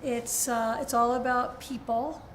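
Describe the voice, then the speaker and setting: A woman's voice: a little high, fairly even intonation, measured pace, slight background noise. A woman actor chatting casually in an interview about her film role. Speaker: actress interviewed as cast member.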